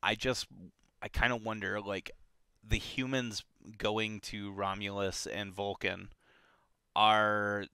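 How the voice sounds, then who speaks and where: Book club co-host, male; bright, unhurried, hesitant casual talk with lots of restarts. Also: lowish voice, clear, resonant tone, no echo, clean studio sound. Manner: relaxed, hesitant, many fillers and restarts.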